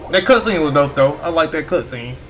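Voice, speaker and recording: A man's voice: on the high side, animated, brisk, fairly bright tone, slight background noise. A man giving casual, slangy commentary on a video game as he plays. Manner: slangy, informal. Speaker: gaming streamer. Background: faint game music.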